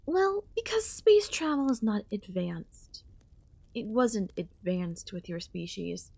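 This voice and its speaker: A woman voicing an ancient, possessive alien queen in an ASMR audio roleplay, speaking with soft, teasing menace and drawn-out pauses. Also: medium pitch, expressive, creaky, husky, no room sound, heavily acted. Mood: solemn, regal. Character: ancient yandere alien queen, last of her kind, condescendingly affectionate.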